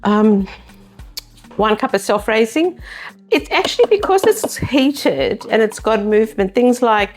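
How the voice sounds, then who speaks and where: A woman's voice: a little high, expressive, measured pace, faint music behind. A middle-aged South African woman co-hosting a home cooking show, chatting through each step as she works. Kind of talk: casual cooking demonstration.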